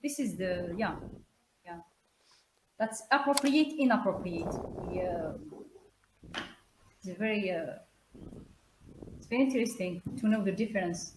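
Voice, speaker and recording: A woman speaking as a guest expert in an informal webinar, haltingly and repeating words for emphasis. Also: medium pitch, noisy background. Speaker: guest expert, English teacher trainer.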